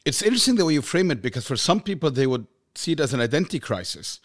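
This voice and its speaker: A man's voice: a little high, big pitch swings, racing. A man hosting an interview podcast, summarizing and affirming his guest's points. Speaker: podcast host.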